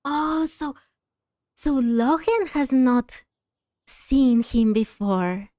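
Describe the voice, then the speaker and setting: A woman's voice: high-pitched, lively intonation, drawn-out words, dark tone, no echo, studio quality. A woman giving casual game commentary as she plays, musing aloud and asking questions as she goes. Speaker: game streamer.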